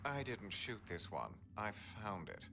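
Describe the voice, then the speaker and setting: A man's voice: fairly low pitch, sing-song, measured pace, vocal fry, noisy background, exaggerated voice. An actor playing a terse man in a fantasy video game. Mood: matter-of-fact. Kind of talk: video game character dialogue.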